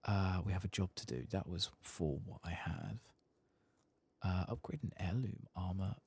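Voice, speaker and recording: A soft-spoken man giving low-key ASMR commentary as he plays a game, muttering to himself. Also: normal speed, slightly rough, no echo. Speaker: gaming video host.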